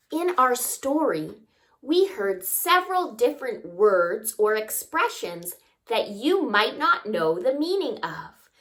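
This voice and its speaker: A woman reads a picture book aloud for children in a fairly high voice. Her delivery is very slow, with animated, swooping intonation. She addresses young listeners directly with simple questions. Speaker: children's story time reader.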